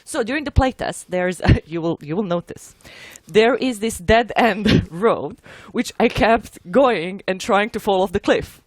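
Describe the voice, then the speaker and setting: A woman's voice: medium pitch, animated, unhurried, few pauses, no room sound. A woman from Croatia with a Croatian accent, speaking informally as a game producer in a casual developer discussion, often rephrasing mid-sentence. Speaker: game producer, panel guest.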